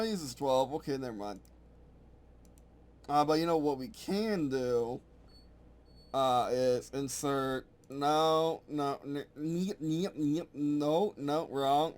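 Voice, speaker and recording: Rambling man muttering to himself. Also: fairly high pitch. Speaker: game designer streaming his work. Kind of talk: casual thinking aloud while editing.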